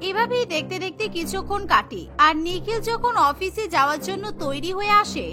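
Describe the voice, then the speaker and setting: Very high-pitched woman narrating a cartoon. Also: expressive, brisk. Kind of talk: scripted cartoon story narration. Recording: music behind the voice.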